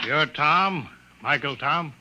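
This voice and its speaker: A man playing a gruff, domineering senior colonial boss in a radio drama, curt, blustering and contemptuous. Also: fairly high pitch, slow, highs cut off, background noise. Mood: threatening.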